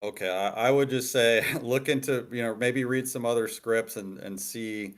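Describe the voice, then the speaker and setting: A man's voice: medium pitch, normal speed. A man in a casual workshop discussion, explaining his view by example and rephrasing as he goes. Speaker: participant in a screenwriting critique.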